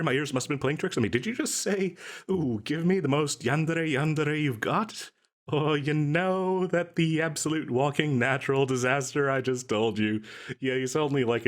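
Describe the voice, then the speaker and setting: A man's voice: mid-range voice, close to an everyday voice. A man playing an overeager, chatty and cheerfully shady salesman in a comedic audio roleplay, rambling and stammering coyly through his patter. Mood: flustered, excited. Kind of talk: comedic audio roleplay, one side of a conversation.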